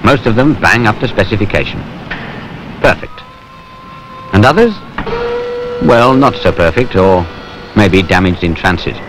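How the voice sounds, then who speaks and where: A man's voice: on the low side, expressive, measured pace, pauses often. A British man giving wry, colloquial, conversational narration for a film. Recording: noisy 1970s industrial film soundtrack.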